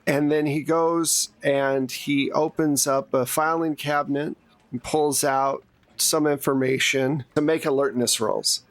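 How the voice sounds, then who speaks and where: A man's voice: a little high, flat delivery, unhurried, no room sound. A man casually narrating a tabletop game as game master in a joking, easygoing way.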